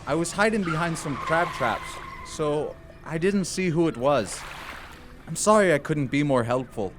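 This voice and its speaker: A man's voice: fairly high pitch, expressive, a little slow, noisy background. A man playing a folksy, skittish dockside informant in a 1940s noir radio drama. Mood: uneasy, apologetic.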